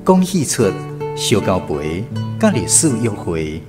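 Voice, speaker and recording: A male announcer with a Taiwanese accent reads a scripted radio intro. His voice is on the low side and swings expressively, with loud music behind. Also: huge pitch swings, takes it slowly.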